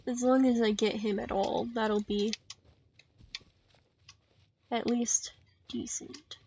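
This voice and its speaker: A woman giving casual solo commentary on a game stream in a playful, dryly self-deprecating, halting way. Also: a little high, steady tone, dark tone. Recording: dull lo-fi mic with faint noise and clicks.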